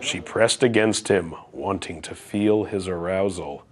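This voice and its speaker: Very deep, slow man reading romance. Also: breathy edge.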